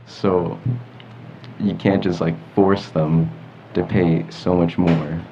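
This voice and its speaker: A man's voice: low-pitched, flat delivery, slow, mellow, slight background noise. A teenage male podcast panelist chatting casually in a joking, slangy way. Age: teens. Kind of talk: casual chat. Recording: dull lo-fi recording.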